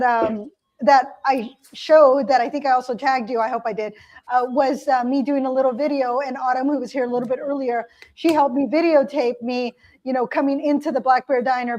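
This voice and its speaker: A woman co-hosting a podcast, chatting casually and telling anecdotes with lots of pauses. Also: high voice, darkish tone, highs cut off. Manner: chatty storyteller, fills pauses with uh and um. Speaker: podcast co-host. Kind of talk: casual chat.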